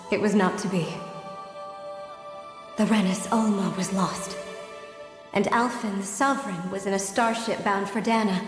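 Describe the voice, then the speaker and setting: An actress playing a remorseful ancient maiden, speaking a solemn, measured, sorrowful monologue in a video game. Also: female voice, mid-range voice, lightly acted. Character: remorseful ancient maiden confessing her doom. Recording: echoing long reverb, music behind.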